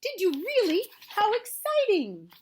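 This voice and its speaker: A woman voicing an eager, kind-hearted, excitable young boy in a children's story. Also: medium pitch, unhurried, slight background noise. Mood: excited. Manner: excited, calling out.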